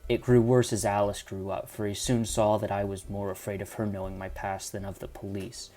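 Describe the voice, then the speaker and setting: A man's voice: fairly low pitch, flat delivery, fairly quick, mellow, lightly acted. A man playing an old, dying landowner in an audio drama, confessing in a solemn, formal, old-fashioned way, bitter and desperate. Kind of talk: amateur audio drama acting of a Victorian detective story. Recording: dramatic music under the voice.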